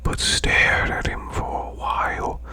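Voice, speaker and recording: Someone voicing a slow, growling, whispering demon storyteller. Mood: hushed, eerie. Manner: slow, deliberate, hushed. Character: male demon butler's boss, slow eerie bedtime storyteller.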